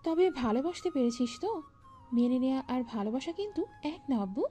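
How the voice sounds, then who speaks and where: A woman's voice: high voice, lively intonation, fairly quick, faint music behind. A woman playing an affectionate, teasing middle-aged mother in an audio story. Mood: mock scolding, fond. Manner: gently teasing, fond. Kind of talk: Bengali audio story acting.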